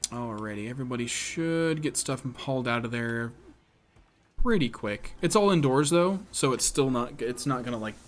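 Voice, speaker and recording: A man's voice: mid-range voice, expressive, normal speed. A man giving casual live gameplay commentary, chatting with viewers and thinking aloud in a self-deprecating way. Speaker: game streamer. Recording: music behind the voice.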